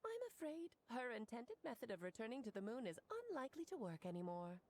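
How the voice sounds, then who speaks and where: A woman's voice: a little high, very animated, fast talker, darkish tone, a little hiss or hum. A woman playing a breezy, self-assured, motherly mage in a video game, articulate and theatrical, playfully explaining things. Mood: knowing, light. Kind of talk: video game voice acting, expository dialogue.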